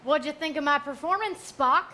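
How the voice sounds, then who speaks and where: A woman's voice: sits high, normal speed, bright, forceful tone, a little hiss or hum. A woman playing a blunt, folksy, cranky starship doctor in a comic stage parody. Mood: deadpan. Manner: blunt, exasperated.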